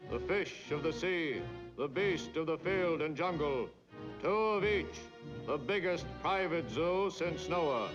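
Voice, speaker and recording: Pompous, high-pitched 1940s newsreel man. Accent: American. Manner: booming, pompous, portentous declamation. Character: pompous newsreel announcer, grandiose and solemn. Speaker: newsreel narrator. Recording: dull 1940s film soundtrack, loud music. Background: loud orchestral music.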